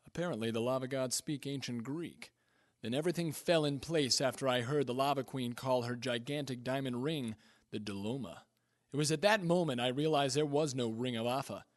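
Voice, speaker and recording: An actor playing a cocky, reckless adventurer in a radio comedy, smug and full of quips. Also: male voice, mid-range voice, fairly bright tone, close to an everyday voice. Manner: smug, quipping, swaggering. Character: cocky, reckless male adventurer hero, self-satisfied.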